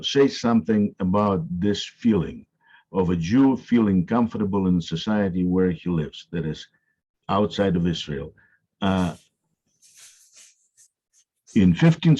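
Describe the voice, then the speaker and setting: A man hosting an interview, speaking in a measured, lecturing way with frequent hesitations. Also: sits low, warm tone, husky. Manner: measured, lecturing with many uh fillers. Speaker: interviewer host. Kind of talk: interview conversation. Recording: dull, lo-fi sound.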